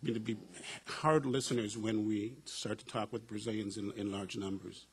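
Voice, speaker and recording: Husky middle-aged man telling wry stories. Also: lowish voice, fairly even intonation, moderate pace. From United States. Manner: reflective, wry, with frequent uh and um fillers. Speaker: panelist, professor. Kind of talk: personal storytelling at a panel discussion.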